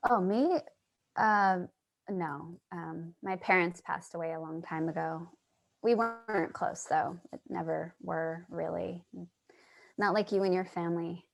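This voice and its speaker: A woman acting a sympathetic, curious, lonely character in a staged play reading, asking gently and tentatively. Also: fairly low pitch, a little slow, creaky. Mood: hesitant, regretful. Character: sympathetic, curious, lonely listener named Charlie. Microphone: video call.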